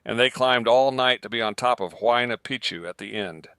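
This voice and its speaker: Close and dry, a man plays a reflective commentator in a radio drama. His mid-range voice is very smooth and clear, reverent as he delivers scripted commentary on the world's end. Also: mid-range voice, normal speed, a bit bright, no room sound, lightly acted. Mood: quiet wonder.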